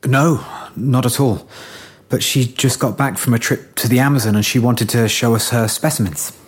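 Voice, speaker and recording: A man's voice: medium pitch, fairly even intonation, moderate pace, breathy edge, natural voice. An actor playing an anxious, polite scientist in a naturalistic audio drama, hesitant in his speech. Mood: subdued. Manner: hesitant, stammering when alarmed. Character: anxious scientist, a husband, polite but rattled.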